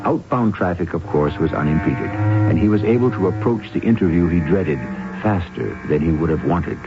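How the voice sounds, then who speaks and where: Male narrator, ominous and measured, creaky bass with big swings, muffled vintage radio sound. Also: lively intonation. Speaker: radio drama host and narrator. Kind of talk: scripted dramatic narration, 1970s radio. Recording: dull old radio broadcast with music.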